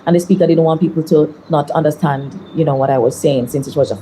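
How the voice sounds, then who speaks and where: A woman in her thirties with a Jamaican accent, a politician answering interview questions in a measured, deliberate way. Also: low voice, steady tone, mellow, slight background noise, low-quality recording. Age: 30s.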